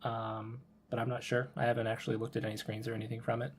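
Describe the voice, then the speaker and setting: A man's voice: mid-range voice, little pitch movement, fast talker, slight background noise. A man hosting a podcast, casually running through news in a hesitant, hedging way. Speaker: podcast host.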